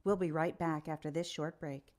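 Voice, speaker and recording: A composed female reporter played by an actress fronts a news special in a radio play. Her delivery is formal and measured, with a probing, scripted interviewer's manner. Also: low-pitched, steady tone, natural voice. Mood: formal, grave.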